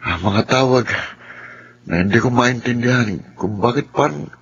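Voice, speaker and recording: A man's voice: medium pitch, moderate pace, a bit bright. A man playing a brooding, uneasy man in a radio drama, musing and questioning as if troubled. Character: brooding, uneasy brandy-drinking man haunted by worries. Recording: dull, clearly noisy lo-fi recording.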